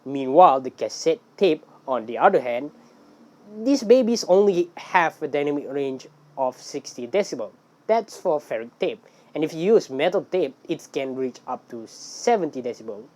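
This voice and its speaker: A woman hosting a casual explainer in non-native English, talking in an animated way with rhetorical questions. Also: low-pitched, moderate pace. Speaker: video host.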